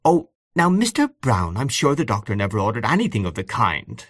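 A man's voice: fairly low pitch, huge pitch swings, a little fast, a bit bright, no echo, studio quality, heavily acted. A man voicing a bold, teasing young lady in an audiobook story. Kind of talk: audiobook character voice.

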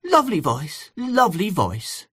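A man voicing a fussy, excitable elderly aunt in an audiobook story. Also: high-pitched, measured pace, breathy edge, no echo, studio quality, voice pushed up, heavily acted. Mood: excited, anxious. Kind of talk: audiobook character voice.

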